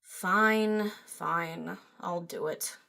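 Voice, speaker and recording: A woman's voice: on the low side, drawn-out words, creaky, heavily acted. A woman voicing a brash, sarcastic young unicorn party girl in a fan audio drama, slangy and cheeky. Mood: grudging, sulky.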